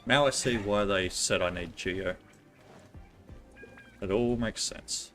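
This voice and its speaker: A man's voice: on the low side, slow, a bit bright, faint music behind. A man giving casual gameplay commentary as a streamer, musing aloud to himself. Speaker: game streamer.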